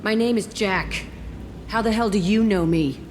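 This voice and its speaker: A woman's voice: medium pitch, normal speed, a little hiss or hum, close to an everyday voice. An actress playing a tough, haunted, vengeful young woman in a video game, blunt, profane and defiant. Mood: angry, defiant. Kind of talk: dramatic video game acting. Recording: music behind the voice.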